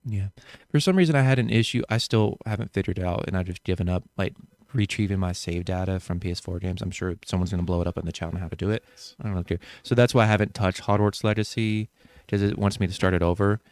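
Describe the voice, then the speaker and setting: A man's voice: low-pitched, steady tone, fast talker, a little mellow, no room sound. A man co-hosting a podcast, rambling and joking in casual chat with lots of fillers. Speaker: podcast co-host.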